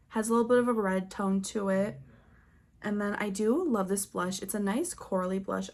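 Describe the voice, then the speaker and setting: Female beauty creator, medium pitch, chatty and informal, casual show-and-tell delivery with little asides. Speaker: beauty vlogger.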